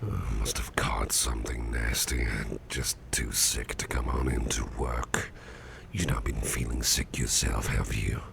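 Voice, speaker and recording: A man playing a gentle, affectionate businessman boss in a soothing ASMR roleplay, whispering softly and reassuringly close to the mic. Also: level tone, noisy background, full character voice. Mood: grateful, friendly. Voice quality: breathy whisper, very deep and gravelly. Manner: soft, slow, reassuring murmuring.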